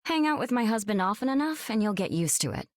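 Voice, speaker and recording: A calm superhero team leader. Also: female voice, a little high, fast, no room sound, studio quality. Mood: steady. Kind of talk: video game voice acting.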